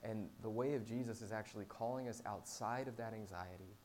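A man's voice: fairly low pitch, brisk, darkish tone. A man preaching in an informal, conversational style as a pastor, with a self-deprecating, humorous manner. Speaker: pastor preaching to a small church. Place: live talk to a small congregation. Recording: clear background noise with hum.

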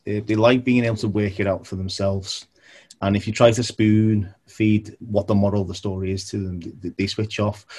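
A man who used to teach, talking in a measured, explanatory way during a conversation, sometimes repeating words as he thinks. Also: fairly low pitch, warm tone. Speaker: former teacher. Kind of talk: reflective conversation.

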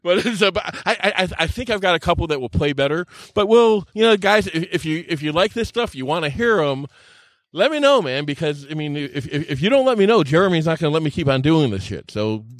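A man's voice: on the high side, animated, fairly quick, no echo. A man telling anecdotes casually on a podcast, often stammering and repeating words as he goes, plain-spoken and self-deprecating. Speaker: podcast storyteller. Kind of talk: casual anecdotal storytelling chat.

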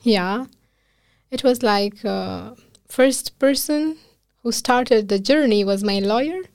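A woman's voice: fairly high pitch, slow pace, vocal fry, a little mellow, no echo. Earnest Afghan-accented woman, dry sound. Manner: earnest, emotional storytelling with quoted speech and laughter. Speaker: guest, physician. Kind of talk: casual interview conversation.

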